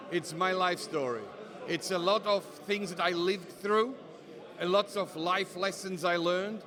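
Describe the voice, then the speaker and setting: A middle-aged man with an Italian accent speaks as a pastor and author, steady and affirming. His voice sits fairly high. A crowd murmurs clearly behind him. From Italy. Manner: steady and affirming, frequent short agreements. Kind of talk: interview guest, earnest testimony.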